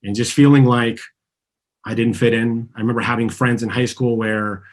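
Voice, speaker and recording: A man's voice: medium pitch, steady tone, moderate pace. A man born in Argentina and raised in the US, coaching a group through a guided tapping session, earnest and asking listeners to repeat his phrases. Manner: earnest, guiding, has listeners repeat after him.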